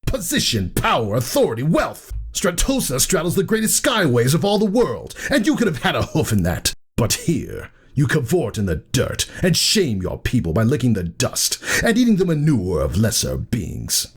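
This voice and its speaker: Man acting a furious gravelly pegasus warrior, raised pitch. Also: huge pitch swings, normal speed, hardly any pauses, full character voice. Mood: raging, scornful. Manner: raging, scornful, declamatory. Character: furious proud pegasus warrior brother, haughty and menacing. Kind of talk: dramatic fan fiction reading.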